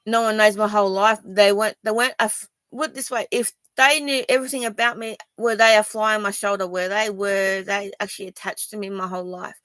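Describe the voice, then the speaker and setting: A woman's voice: medium pitch, steady tone, fairly quick, hardly any pauses, fairly bright tone, dry recording. An Australian woman from Sydney speaking to viewers in a personal video, rambling and defensive, with many ums and restarts. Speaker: vlogger.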